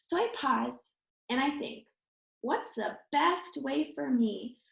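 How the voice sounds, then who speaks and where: A woman reading a picture book aloud to children like a teacher, expressive and emphatic, speaking directly to her young listeners. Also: sits high, slow, gravelly. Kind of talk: children's read-aloud with encouraging asides. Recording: roomy, echoing indoor sound.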